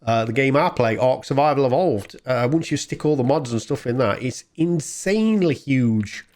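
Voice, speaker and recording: A man with a mid-pitched voice gives off-the-cuff gameplay commentary. He is chatty and informal, rambling along as the host of a retro gaming channel. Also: expressive, normal speed, a little mellow.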